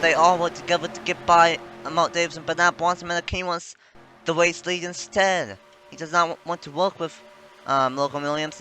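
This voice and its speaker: Man calling a sim race, bright tone. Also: a little high. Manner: rambling, self-correcting live call. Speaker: sim racing commentator. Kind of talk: play-by-play race commentary.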